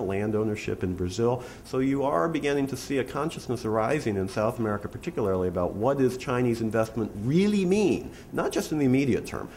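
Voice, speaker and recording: A middle-aged man with a medium-pitched voice gives analytical panel remarks. He speaks deliberately, and faint noise sits in the recording. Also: darkish tone. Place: indoor conference panel stage. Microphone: gooseneck table microphone. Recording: faintly noisy conference room recording.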